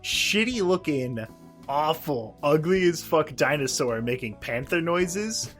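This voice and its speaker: A man co-hosting a podcast, recapping in a casual, halting, profane and sardonic way. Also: on the high side, lively intonation, a bit bright, faint music behind. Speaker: podcast co-host. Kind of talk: casual episode recap chat.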